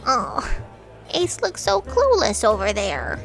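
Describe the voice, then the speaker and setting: An actress playing an earnest, excitable fact checker who worries easily, in an audio drama. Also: female voice, on the high side, takes it slowly, vocal fry, soft background music, full character voice. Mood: concerned.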